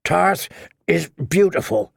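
A man's voice: on the high side, slow, vocal fry, dry recording, clean studio sound, voice pushed up, heavily acted. A man voicing a blunt, dour warrior noblewoman in an audiobook, with stiff, terse delivery. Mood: stiff, defiant.

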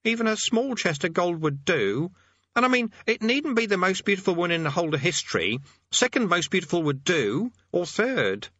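A man voicing a squeaky, eager young boy demonologist who blusters pompously and hesitates, in a storybook reading. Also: sing-song, moderate pace, pushed and bright, dry recording, lo-fi sound, full character voice. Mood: wheedling, longing. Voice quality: squeaky, high-pitched.

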